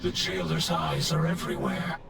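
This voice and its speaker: An actor playing a grim, commanding undead knight in a video game, gravelly, stern and solemn. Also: male voice, on the high side, very animated, normal speed, noisy background, exaggerated voice. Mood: weary, wary. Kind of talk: video game character dialogue.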